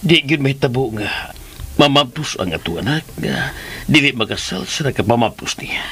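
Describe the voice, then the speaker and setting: A man playing a devoted, gentle middle-aged partner in a radio drama, coaxing and expressive as he talks. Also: medium pitch, normal speed, husky, exaggerated voice. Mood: tender, reassuring. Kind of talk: Philippine radio drama acting. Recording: radio drama with music bed. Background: music.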